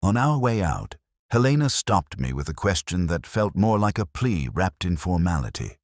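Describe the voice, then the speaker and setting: A man reading a scripted first-person detective story as its narrator, in a measured, reflective, literary manner. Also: deep voice, very animated, no echo, clean studio sound.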